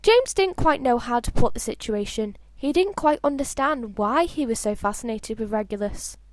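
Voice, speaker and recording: A high-pitched female voice narrates fan fiction in an expressive, homemade audiobook style. The sound is dry and close, and she trips lightly over a word here and there. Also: normal speed, no echo.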